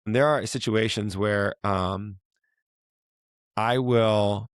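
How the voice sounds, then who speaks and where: A man hosting a casual talk, his voice hoarse and raspy from a week of yelling, rambling and restarting as he asks questions. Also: on the low side, fairly even intonation, takes it slowly, dry recording, clean studio sound. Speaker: podcast host, fitness coach. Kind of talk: casual chat.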